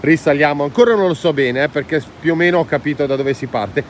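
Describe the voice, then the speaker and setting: A man's voice: a little high, quick pace. A man chats informally and playfully as he hosts a casual travel vlog. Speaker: vlog host. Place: outdoors in a mountain valley. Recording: clear outdoor ambient noise.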